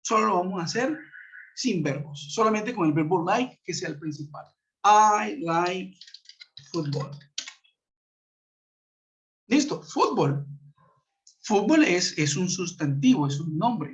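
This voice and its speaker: A man from Colombia with a Colombian Spanish accent, teaching English in a web conference lesson and explaining with many fillers and tag questions. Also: high voice. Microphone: computer mic on a video call. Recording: muffled lo-fi web call audio.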